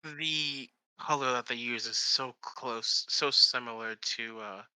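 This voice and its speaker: A man's voice: medium pitch, slow pace, sharp tone, smooth, dry recording, poor audio. A man co-hosting a live game stream, making short offhand remarks with dry humor. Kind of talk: casual live-stream chat.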